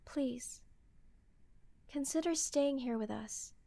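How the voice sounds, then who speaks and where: Woman as youthful proud queen, high voice, formal diction, earnest, defensive, fantasy audio drama, dry studio sound. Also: slow, vocal fry, mellow, no room sound, full character voice. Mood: pleading. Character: earnest, proud young queen of a small magical kingdom. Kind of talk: scripted fantasy audio roleplay.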